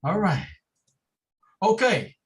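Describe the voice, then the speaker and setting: A man's voice: high-pitched, huge pitch swings, takes it slowly. Chatty man hosting a virtual meeting. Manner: loose, joking, chatty. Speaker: branch president, meeting host. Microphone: video call.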